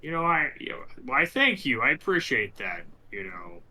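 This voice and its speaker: A man's voice: medium pitch, very animated, moderate pace, pushed and bright, faint music behind, poor audio. A man talking casually as a player in a tabletop role-playing game, often repeating himself as he goes.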